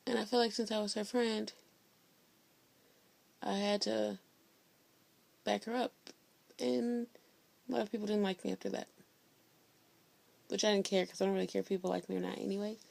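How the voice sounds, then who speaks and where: A teenage girl from Indiana telling a story in a blunt, sassy, chatty way, loud-voiced and full of slang. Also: mid-range voice, little pitch movement, moderate pace, creaky, long pauses. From Indiana, USA. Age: teens. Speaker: vlogger. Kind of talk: casual storytelling vlog.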